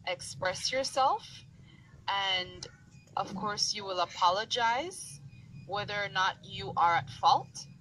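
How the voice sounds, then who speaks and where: Bright-voiced patient woman tutor. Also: medium pitch, lively intonation, takes it slowly, slight background noise, poor audio. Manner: patient, clear, explaining step by step. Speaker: English conversation tutor. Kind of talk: online tutoring conversation. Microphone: video call.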